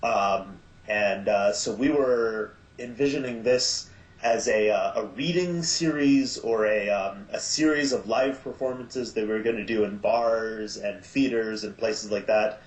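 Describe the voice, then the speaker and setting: A man being interviewed as producer and director of an audio drama, talking in a halting way with many fillers and restarts. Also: fairly low pitch. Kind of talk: casual podcast interview. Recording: echoey, dull lo-fi sound.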